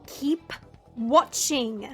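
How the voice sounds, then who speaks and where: Woman playing a high-voiced, stern, proud warrior woman. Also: a little mellow, breathy edge, faint music behind, exaggerated voice. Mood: commanding. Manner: measured, formal, dry delivery. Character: stern, proud warrior woman Iron, blunt but caring. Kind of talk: fantasy audio roleplay acting.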